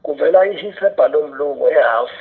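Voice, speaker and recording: A man's voice: a little high, quick pace, gravelly. A South African man talking casually as an interview guest, switching between two languages as he goes. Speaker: interview guest, radio drama writer and filmmaker. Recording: narrow phone-like radio sound.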